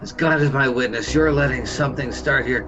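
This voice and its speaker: A man's voice: medium pitch, a little fast, dull audio, over music, close to an everyday voice. A man playing an accused suburban husband, bewildered, defensive and indignant, in a radio play. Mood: furious, warning.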